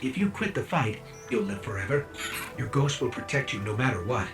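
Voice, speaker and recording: A man's voice: mid-range voice, lively intonation, fairly quick, close to an everyday voice. A man voicing a wry, encouraging robotic companion spirit in a video game, conversational and earnest. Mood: gentle, encouraging. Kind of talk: cinematic video game voice acting. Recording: music behind the voice.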